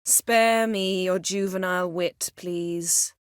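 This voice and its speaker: A haughty sorceress from a fantasy game, speaks in a lowish voice. Her diction is formal and her manner imperious, with a dry, cutting wit. The sound is close and free of room echo. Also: steady tone, slow, clear, resonant tone. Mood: dry, sardonic. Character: haughty, sharp-tongued, commanding sorceress in a fantasy world. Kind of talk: fantasy video game voice acting.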